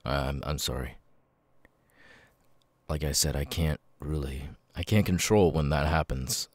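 An extremely deep-voiced, troubled ex-convict, acted by a man in an ASMR roleplay. He speaks slowly and haltingly, apologetic and stammering, in a very dry, close recording. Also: no room sound. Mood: apologetic, rueful. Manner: halting, stammering, sudden loud tic outbursts. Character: apologetic, troubled male ex-convict with involuntary tics. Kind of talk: ASMR audio roleplay, halting confiding monologue.

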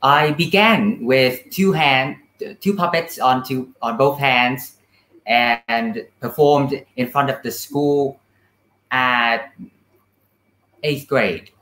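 A seventeen-year-old male, answering as an interview guest with halting, careful phrasing over a video call. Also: medium pitch, bright, forceful tone. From Vietnam. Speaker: student puppeteer, interview guest. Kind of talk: casual interview talk. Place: indoor room, on a video call. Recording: roomy video call sound.

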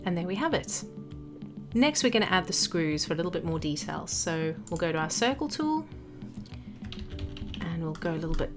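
A woman narrating a step-by-step software tutorial as an instructor, explaining each action as she goes. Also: fairly low pitch, very animated, normal speed, pauses often. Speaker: graphic design tutorial instructor. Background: background music.

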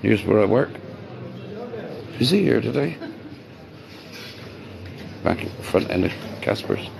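A fairly low, gravelly man's voice, gone hoarse from talking, rambles cheerfully and calls out hellos to people he passes. He is recorded outdoors on a handheld phone. Clear street noise carries behind him. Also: big pitch swings, takes it slowly. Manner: chatty, rambling, greets passers-by. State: voice getting hoarse. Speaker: bar worker vlogging his day. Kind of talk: casual walk-and-talk vlog.

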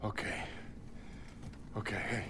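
A man's voice: slow, noisy background, lightly acted. A man acting a desperate, protective survivor in his thirties in a sci-fi horror video game. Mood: concerned. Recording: loud game soundtrack behind.

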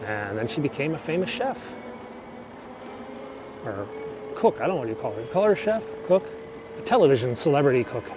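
A man's voice: mid-range voice, sing-song, normal speed, pauses often. Man, casual vlog narration, rambling, self-correcting, outdoor traffic noise in the background. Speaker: vlogger. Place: outdoors on a city bike ride. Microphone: action camera. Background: traffic and city ambience.

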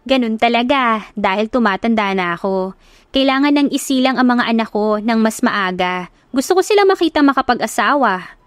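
A woman's voice: a little high, expressive, normal speed, dry recording, natural voice. A woman voicing a haughty, sulky woman friend in her forties.

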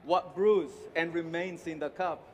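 Man, Honduran Spanish accent, high-pitched voice, formal rehearsed presentation, deliberate delivery, headset mic, noisy venue behind. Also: fairly even intonation. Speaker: competition barista. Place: large indoor competition arena with empty stands. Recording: echoey hall with clear background noise. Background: venue ambience and noise.

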